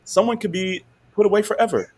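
Animated, laughing law professor guest. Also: male voice, high voice, fairly quick, slight background noise. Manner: animated, laughing, frequent "right?". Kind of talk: casual interview conversation.

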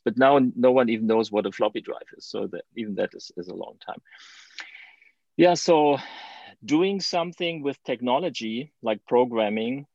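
German guy with a low gravelly voice chatting as a podcast guest, dull lo-fi sound. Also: darkish tone, dry recording. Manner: chatty, with restarts and light laughs. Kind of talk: conversational interview.

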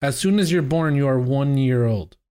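Excitable young man chatting, laughing. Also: mid-range voice, moderate pace, clean tone. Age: 20s. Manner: excitable, laughs often, asks questions. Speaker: podcast co-host. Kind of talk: casual group chat.

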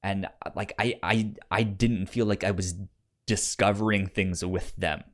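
A man co-hosting a casual review discussion, halting and repeating words as he thinks out loud. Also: deep voice, steady tone. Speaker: podcast co-host reviewing comics.

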